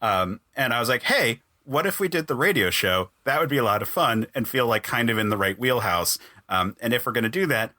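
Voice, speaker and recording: A man's voice: mid-range voice, lively intonation, brisk, bright, forceful tone. A man hosting a podcast in a casual, chatty style. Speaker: podcast host.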